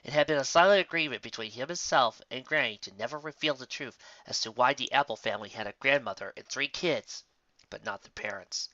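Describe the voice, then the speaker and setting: A man narrates a story with expressive, scripted delivery. His voice is bright and forceful, captured close and dry on a slightly dull, lo-fi home recording. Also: mid-range voice, a little fast, dry recording. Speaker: fan fiction narrator.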